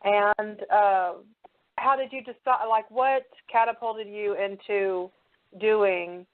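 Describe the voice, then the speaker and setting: A woman's voice: medium pitch, fairly even intonation, takes it slowly, narrow, tinny audio. A woman hosting a casual interview, talking in a loose, hesitant, conversational way. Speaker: show host, interviewer.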